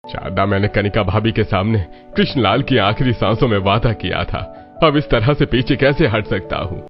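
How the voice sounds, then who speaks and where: A dutiful older father a man acting him out in a family story. His voice is lowish, earnest and solemn about his word. Music plays behind him. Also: normal speed, lo-fi sound, close to an everyday voice.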